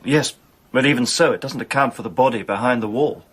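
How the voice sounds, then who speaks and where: Victorian gentleman sleuth, husky mid-range voice, measured, inquisitive, radio drama. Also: mid-range voice. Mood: practical. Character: reasoning, level-headed Victorian gentleman sleuth. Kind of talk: radio play acting.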